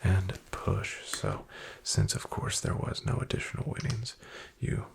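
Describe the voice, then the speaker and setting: A man playing a shady, guarded back-alley card dealer in a soft-spoken ASMR roleplay, half-whispering his clipped, unhurried patter with a gravelly creak. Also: low-pitched, huge pitch swings, slight background noise, full character voice. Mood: cool, businesslike.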